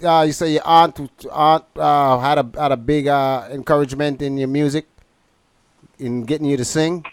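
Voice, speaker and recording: Halting male radio host, dry close mic. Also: fairly high pitch, fairly even intonation, clean tone, no room sound. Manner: halting, many "uh" and repeated words. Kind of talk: casual live interview.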